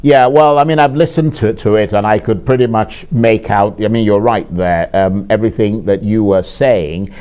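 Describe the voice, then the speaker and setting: A man's voice: on the low side, normal speed, hardly any pauses, mellow, smooth, dull audio. A man interviewing a musician, asking long, winding questions with restarts.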